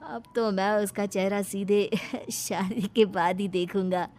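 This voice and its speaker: A woman voicing an eager, money-minded young bridegroom in a cartoon moral story, pleading and excitable. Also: medium pitch, slow pace, natural voice.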